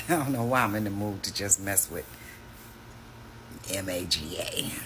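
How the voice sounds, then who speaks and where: A man's voice: low voice, unhurried, sharp tone, slight background noise. A man hosting a reaction video, talking casually in a mocking, slangy way.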